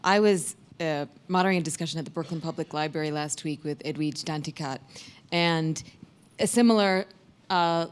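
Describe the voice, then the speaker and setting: Woman, from Sri Lanka, lowish voice, reflective, hedging, town hall panelist. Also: normal speed, clear, resonant tone. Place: public hall.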